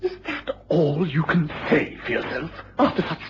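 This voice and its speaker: An actor playing a fussy, upright college tutor in a radio drama, formal, flustered and prone to stammer. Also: male voice, fairly high pitch, very animated, moderate pace. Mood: indignant, stiff. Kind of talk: mid-century British radio drama acting. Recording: dull, noisy old radio recording.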